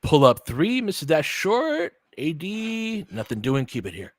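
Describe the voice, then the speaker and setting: A man's voice: on the high side, wildly swooping pitch, measured pace, no room sound, studio quality. A man calling a basketball game in a conversational, slangy way, swearing casually as he mixes play-by-play with analysis. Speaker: podcast host doing play-by-play.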